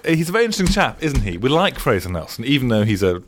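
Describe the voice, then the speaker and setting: A man's voice: mid-range voice, very animated, fast talker, slight background noise. A man from Scotland hosting, asking probing questions in a casual interview and chat. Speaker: podcast host, interviewer.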